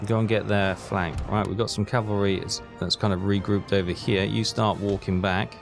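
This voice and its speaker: Low, creaky-voiced gamer. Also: male voice, flat delivery, normal speed, music in the background. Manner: thinks aloud in a chatty way. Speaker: gaming video host. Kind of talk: casual gameplay commentary.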